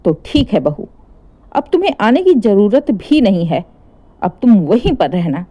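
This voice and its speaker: A woman voicing an angry, harried older mother-in-law in a story, complaining in a sharp, exasperated manner. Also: medium pitch, very animated, measured pace. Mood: angry, curt.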